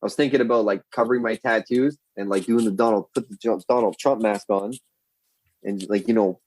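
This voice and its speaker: A man telling wild stories as a podcast guest, with a profane, rambling, animated manner. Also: fairly low pitch, brisk. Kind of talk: casual storytelling chat.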